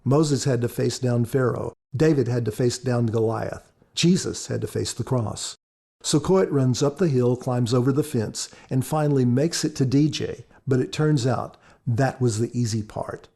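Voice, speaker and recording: A man's voice: mid-range voice, normal speed, creaky, dark tone. A man giving scripted podcast commentary as author and co-host, in an earnest, preacherly, explanatory manner.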